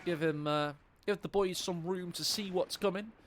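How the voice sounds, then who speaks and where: A man commentates a strategy game tournament live, and his voice sits noticeably high for a man. He keeps things jokey and chats with his viewers between plays. A faint background noise runs under the commentary. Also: normal speed. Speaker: esports caster.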